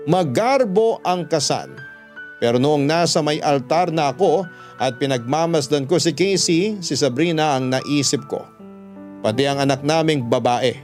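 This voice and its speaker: A man's voice: a little high, lightly acted. A man playing a regretful young man with a Filipino accent, narrating his own love story for radio drama. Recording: music bed behind the voice.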